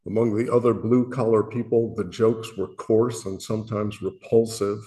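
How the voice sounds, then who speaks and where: Booming-voiced man giving a halting, earnest message. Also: steady tone, dark tone. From Illinois, USA. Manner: earnest, halting, self-deprecating with frequent restarts. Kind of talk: reflective spoken message.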